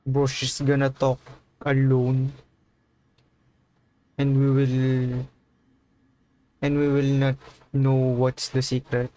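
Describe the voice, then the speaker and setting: Slow-talking male streamer, mid-pitched voice. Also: completely flat delivery, dark tone, rough recording. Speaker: game streamer. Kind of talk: casual livestream commentary, reading along.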